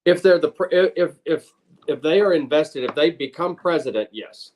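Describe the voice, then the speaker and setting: A middle-aged man, a native English speaker and lawyer, answering interview questions in halting, searching phrases and switching between two languages. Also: a little high, a little mellow, slight background noise. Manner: halting, searching for words in Spanish. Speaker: lawyer and former state senator, guest.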